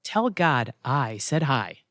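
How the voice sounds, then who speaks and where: A male actor plays a wisecracking, easygoing male gatekeeper of the afterlife in an audio comedy. His chatty, flippant delivery jumps around in pitch. The sound is dry, with no room around it. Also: big pitch swings, slow, fairly bright tone, no room sound, exaggerated voice. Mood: casual, dry. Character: breezy, wisecracking male afterlife check-in attendant. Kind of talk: audio theatre comedy acting.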